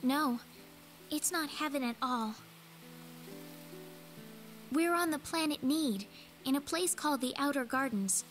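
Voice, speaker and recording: A sweet earnest young game heroine, high voice, soft and hopeful. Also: female voice, a little slow, pauses often, faint music behind. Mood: calm, wistful. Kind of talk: English video game dub acting.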